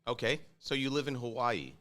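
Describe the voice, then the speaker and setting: A man co-hosting a casual talk show, his bright, mid-pitched voice swinging widely in pitch. He plays the contrarian and needles the host with offbeat points. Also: lively intonation, fairly quick. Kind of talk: casual banter. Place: car service center.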